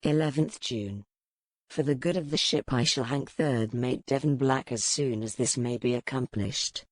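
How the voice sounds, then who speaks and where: Old male sea captain, played by an audiobook narrator: pious, cruel, formal log-entry delivery, low register. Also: moderate pace, no room sound, natural voice. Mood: grim, indignant. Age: older. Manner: clipped, severe, righteous. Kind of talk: formal ship's log read as dramatic narration.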